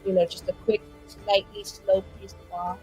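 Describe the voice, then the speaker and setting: A woman's voice: mid-range voice, normal speed, slightly rough, soft background music, low-quality recording. A woman playing a tabletop role-playing game, rambling on at length about her character with frequent laughter. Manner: rambling, laughing, lively swings in tone. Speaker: player.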